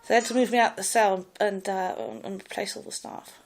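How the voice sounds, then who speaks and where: A woman with a posh English public school accent and a slight clipped American edge, telling her own story in a loud, rambling way with many hesitations. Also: medium pitch, fairly even intonation, fairly quick, faint music behind. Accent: posh English public school with a slight American Ivy League clip. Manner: hesitant, many fillers and restarts. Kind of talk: rambling personal monologue.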